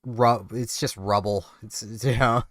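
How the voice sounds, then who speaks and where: A man's voice: on the low side, fairly even intonation, a little slow, no echo. A man, sounding a bit congested from a cold, chatting casually and self-mockingly while streaming a game. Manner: rambling, self-mocking, laughs often. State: congested, getting sick. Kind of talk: casual gameplay commentary.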